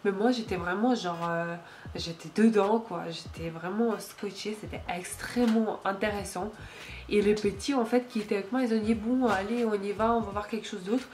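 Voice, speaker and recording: A woman's voice: mid-range voice, moderate pace, darkish tone. A woman in her late twenties from Ukraine, speaking French with a Ukrainian accent, chatting casually to camera with many fillers and small slips. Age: late 20s. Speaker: YouTuber. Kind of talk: casual Q&A vlog.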